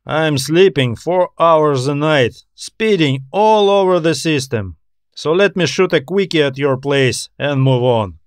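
A man's voice: fairly high pitch, lively intonation, unhurried, clean tone, no echo. A man voicing a tired, anxious customs officer in an audio story, talking in a weary, griping way.

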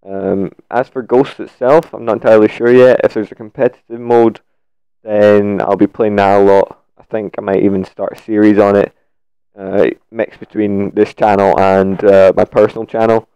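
A young man with a croaky, sore-throated voice, giving laid-back, rambling commentary over gameplay. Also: on the low side, little pitch movement, moderate pace, mellow, dry recording. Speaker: gaming YouTuber. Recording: dull lo-fi mic.

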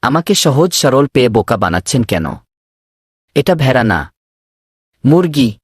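A man voicing a pushy swindler in a cartoon, husky, insistent and wheedling. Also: medium pitch, big pitch swings, moderate pace, dry recording, clean studio sound. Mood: mock indignant. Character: pushy male swindler posing as eager chicken buyer. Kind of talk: cartoon dubbing.

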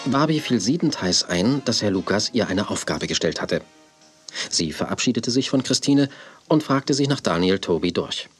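A man's voice: on the low side, expressive, normal speed, slightly rough, faint music behind. A man narrating a children's audio drama as a storyteller, reading scripted storybook prose. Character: male storyteller of a children's tale.

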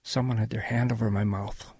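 An older man playing an elderly grandfather, reminiscing in a folksy, gravelly way as he narrates a radio drama. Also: on the low side, level tone, fairly quick, heavy background noise, natural voice. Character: elderly grandfather recounting his wartime boyhood.

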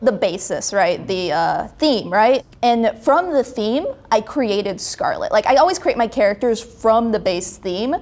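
A young adult woman in a casual interview, explaining her creative work in an informal, animated way, acting out little quotes as she goes. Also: mid-range voice, measured pace, hardly any pauses. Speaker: webcomic creator being interviewed. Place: indoor studio set with brick wall.

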